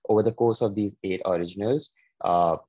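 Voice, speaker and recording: A man with a very low voice hosts a relaxed podcast interview. He talks in a halting way and often restarts his words. The sound is dry and close, with no room around it. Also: no room sound, lo-fi sound. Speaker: podcast host. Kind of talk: casual interview.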